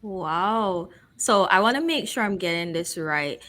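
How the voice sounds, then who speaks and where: A woman from Guyana hosting a casual interview, chatty and quick to laugh. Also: lowish voice, animated, bright, forceful tone. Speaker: host.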